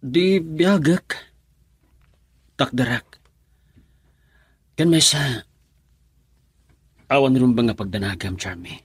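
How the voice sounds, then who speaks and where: A man's voice: medium pitch, slightly rough, no echo. A man acting an earnest young lover in an Ilocano radio drama, with a pleading, emotive delivery. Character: earnest young male lover, devoted grandson.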